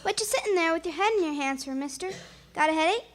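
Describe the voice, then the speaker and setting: A woman's voice: very high-pitched, expressive, fast talker. A woman playing a chirpy, inquisitive little neighbourhood girl in a 1940s radio comedy, eager and childlike. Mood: nosy, concerned.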